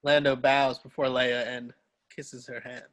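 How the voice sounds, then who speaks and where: A man's voice: medium pitch, flat delivery, measured pace, fairly bright tone, poor audio. A man reading screenplay stage directions aloud as scripted narration.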